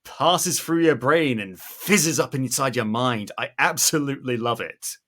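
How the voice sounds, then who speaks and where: A man's voice: mid-range voice, a little slow, a bit bright. A man hosting a podcast in an upbeat style, with an enthusiastic, playful manner. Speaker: podcast host.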